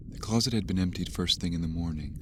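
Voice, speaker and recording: An actor narrating a dark horror audio drama in a measured, ominous, grave manner. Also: male voice, sits low, noisy background, close to an everyday voice.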